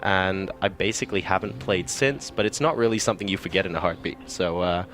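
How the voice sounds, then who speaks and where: A man from Hong Kong, an esports caster, talking quickly and enthusiastically in an interview at a busy event. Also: low-pitched, a bit bright, faint music behind. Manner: quick, chatty and enthusiastic, with frequent fillers. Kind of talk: casual interview answers.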